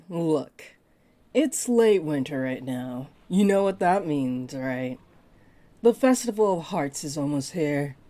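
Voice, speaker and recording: A woman's voice: low-pitched, dark tone. A woman voicing a flirty, possessive half-dragon librarian in a roleplay audio, speaking in a coy, playful drawl. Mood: flirty, probing. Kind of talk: roleplay audio acting addressed to the listener.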